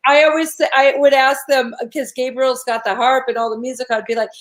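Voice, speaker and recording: Raspy, higher-pitched woman hosting. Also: little pitch movement, brisk. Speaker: show host. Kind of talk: casual spiritual talk show chat.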